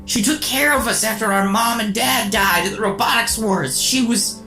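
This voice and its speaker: A woman's voice: fairly high pitch, normal speed, sharp tone. A woman playing an earnest, determined boy robot superhero in a melodramatic radio serial. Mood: anguished pleading. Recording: roomy echo, music underneath.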